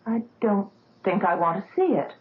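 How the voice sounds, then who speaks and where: An actress playing the vain young wife of a pianist, gushing and theatrical, in a 1970s radio drama. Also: female voice, mid-range voice, very animated, moderate pace, close to an everyday voice. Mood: distressed. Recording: tinny old radio broadcast.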